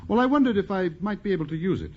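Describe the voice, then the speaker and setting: A man's voice: high voice, animated, racing, a little mellow. A man playing a cheerful, dreamy young businessman in a 1940s radio drama, with a breezy, eager delivery. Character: cheerful, dreamy young Boston businessman, about twenty-one. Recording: muffled, noisy 1940s radio recording.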